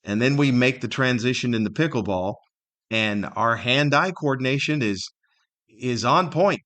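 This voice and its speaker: A man's voice: mid-range voice, animated, moderate pace, fairly bright tone. A middle-aged man hosting a casual solo podcast, telling stories in a rambling, wry and self-deprecating way. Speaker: podcast host.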